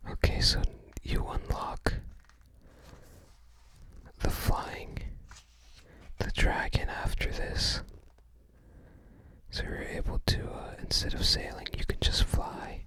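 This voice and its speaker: An ASMR host whispering softly and breathily close to the microphone, musing casually and tentatively. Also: slow, long pauses. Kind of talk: ASMR, casual commentary while browsing a game guide book.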